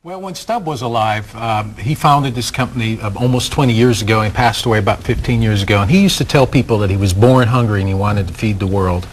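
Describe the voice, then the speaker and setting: A man's voice: lowish voice, brisk, few pauses. A man explaining things as a company spokesman in a news interview. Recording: clearly noisy urban background.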